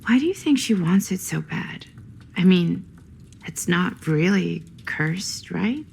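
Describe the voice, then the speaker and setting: A woman's voice: fairly low pitch, animated, slow pace, creaky, a little mellow, breathy edge, full character voice. An actress playing a curious, uneasy young woman in a video game, speaking in a hesitant, questioning way.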